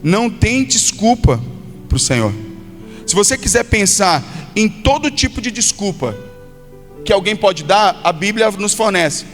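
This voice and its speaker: A man's voice: sits high, lively intonation, a little slow, a bit bright. A Brazilian man preaching a sermon as a pastor, addressing listeners directly and exhorting them with repetition and acted-out quotes. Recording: long hall reverb, music behind. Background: music.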